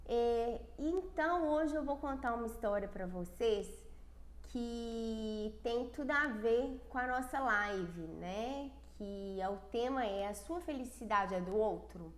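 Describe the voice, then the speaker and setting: Brazilian woman coach, stuffy nose from a cold, fairly high voice, earnest and personal, echoey room. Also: takes it slowly. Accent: Brazilian Portuguese. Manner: earnest, personal, with frequent restarts. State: congested from a cold. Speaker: self-esteem coach. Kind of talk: casual livestream talk.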